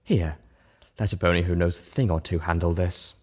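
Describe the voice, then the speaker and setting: A man's voice: low-pitched, steady tone, normal speed, darkish tone. A man voicing an elegant female pony with a gracious, charming and self-assured manner in a story reading. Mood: scolding. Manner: demure, gracious, charming, assertive. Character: Velvet Remedy, elegant coaxing female pony. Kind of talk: character dialogue in a fan fiction reading.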